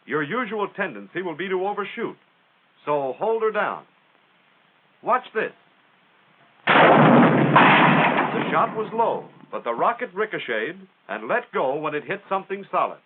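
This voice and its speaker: American male narrator for a 1940s military instructional film; plain-spoken and folksy, highly animated intonation, thin vintage soundtrack sound. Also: a little slow, pauses often, husky. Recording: tinny, band-limited 1940s film soundtrack.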